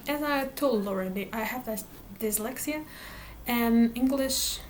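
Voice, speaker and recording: A woman's voice: on the high side, a little slow, slight background noise. A woman whose first language is Portuguese, chatting casually to camera about her reading plans, hesitant, with many fillers and restarts. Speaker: book vlogger.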